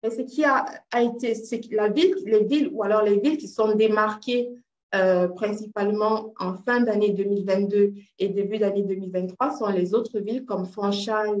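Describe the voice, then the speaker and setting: A woman's voice: mid-range voice, a little mellow. A woman from Cameroon, a real estate agent, giving an online presentation with many fillers and restarts. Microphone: video call. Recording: roomy, dull video-call audio.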